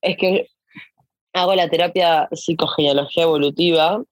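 A woman's voice: fairly low pitch, fairly even intonation, moderate pace, no room sound. A woman in her thirties with a Rioplatense Spanish accent, chatting expressively and colloquially as a podcast guest. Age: 30s. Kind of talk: casual conversation.

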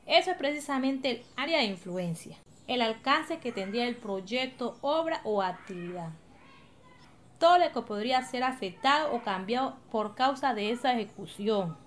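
A woman's voice: a little high, expressive, moderate pace, close to an everyday voice. A woman with a Colombian accent acting an encouraging, patient village leader in an educational radio drama, explaining things colloquially. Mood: earnest. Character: encouraging, patient woman leading her coastal village community.

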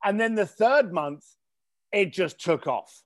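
A man's voice: high-pitched, animated, takes it slowly. A man interviewed as a guest, telling an anecdote with quoted exchanges and repeated words for emphasis. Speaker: guest, author and business owner. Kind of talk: casual interview.

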